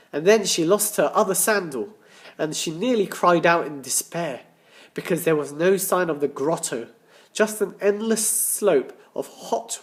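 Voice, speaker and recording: A man reading a children's story aloud as a teacher, with deliberate dramatic emphasis and questions to his listeners. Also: fairly high pitch. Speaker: primary school teacher.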